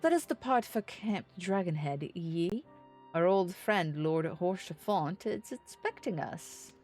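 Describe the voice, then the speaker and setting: Lady doing a young noble boy from a fantasy game, kinda pompous n proper, lowish voice. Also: animated, darkish tone, soft background music. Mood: self-assured, scheming. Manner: formal, measured, slightly pompous archaic speech. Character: earnest, self-assured young nobleman diplomat in a fantasy game.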